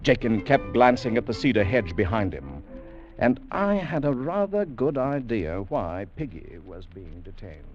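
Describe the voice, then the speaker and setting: An actor playing a storyteller, giving dramatic scripted narration of a regiment's march in an old-time radio drama. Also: male voice, on the low side, sing-song, a little slow, faint music behind. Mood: grave, descriptive. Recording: dull old radio recording.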